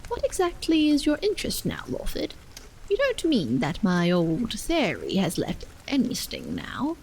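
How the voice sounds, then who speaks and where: A woman voicing an amiable, bookish gentleman in a story, genteel and wry in a measured way. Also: a little high, creaky, a little hiss or hum, heavily acted, low-quality recording. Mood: critical, probing. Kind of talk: audiobook character dialogue. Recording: steady rain sound over the voice.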